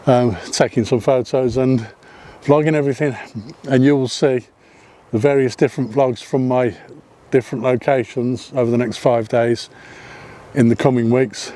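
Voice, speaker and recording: Mellow-voiced man vlogging outdoors. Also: medium pitch, fairly even intonation, moderate pace. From not Welsh. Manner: chatty, with frequent ums and self-corrections. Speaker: photography vlogger. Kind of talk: casual vlog narration while walking. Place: outdoors on a hillside path above a seaside town.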